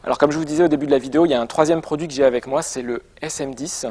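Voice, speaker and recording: A man's voice: a little high, fairly even intonation, very fast, dark tone. A man presenting products in an informal, semi-improvised demonstration, explaining in a conversational and somewhat hesitant way. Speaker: product presenter for a motorcycle gear shop.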